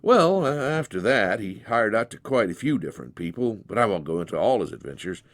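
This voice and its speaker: A man's voice: on the low side, big pitch swings, brisk, no echo. A man reading a story aloud as a dramatized storybook narration.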